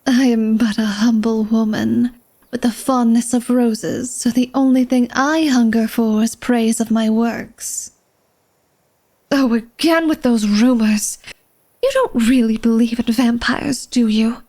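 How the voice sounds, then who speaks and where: A woman's voice: a little high, steady tone, measured pace, warm tone. A woman playing an elegant, secretive vampire lady of a manor in a flirtatious ASMR roleplay, speaking formally and coyly to the listener. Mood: coy, evasive. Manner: formal, genteel, coy, teasing speech addressed to the listener.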